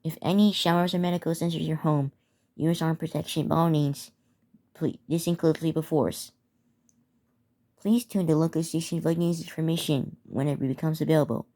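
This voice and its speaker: A woman reading a scripted emergency announcement in a halting way, stumbling and repeating phrases. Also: low-pitched, steady tone, mellow. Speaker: narrator of a hobby emergency alert scenario.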